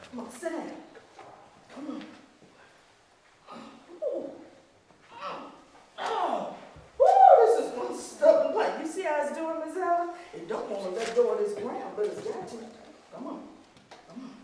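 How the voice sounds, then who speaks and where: A woman's voice: high-pitched, sing-song, a little slow, long pauses, dark tone, voice pushed up. A woman as a loving, coaxing mama. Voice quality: coaxing, affectionate, exclaiming. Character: loving, patient Southern mother coaxing her little girl. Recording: echoey hall, mic far off.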